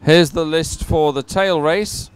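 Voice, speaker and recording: A man's voice: on the high side, fairly even intonation, slow pace, clear, resonant tone, no room sound. A man commentating live on a sporting event and reading out results in a chatty, ad-libbed way.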